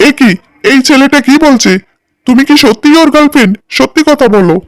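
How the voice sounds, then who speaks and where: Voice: high-pitched, fairly quick, no room sound. A performer voicing an indignant young man in a cartoon, a boyfriend with a questioning, accusing manner. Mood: suspicious.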